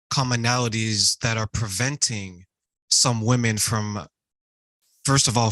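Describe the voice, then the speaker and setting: A man's voice: fairly low pitch, pushed and bright, no echo. A man hosting an interview show, asking questions conversationally and telling anecdotes. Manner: conversational, tells jokes and anecdotes. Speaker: podcast host.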